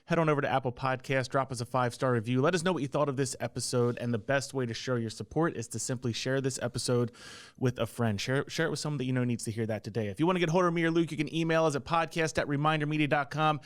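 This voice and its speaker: A man hosting a podcast, wrapping up the episode with brisk promotional calls to action. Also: medium pitch, fairly even intonation. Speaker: podcast host. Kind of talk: podcast outro.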